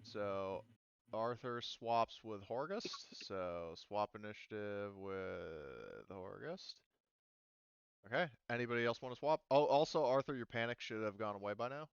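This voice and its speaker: Low voiced guy game mastering, thinks out loud over rules, dry slightly dull mic. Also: expressive, a little slow, vocal fry, clear, resonant tone, no echo. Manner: hesitant, thinking aloud while checking rules. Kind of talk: casual tabletop game refereeing.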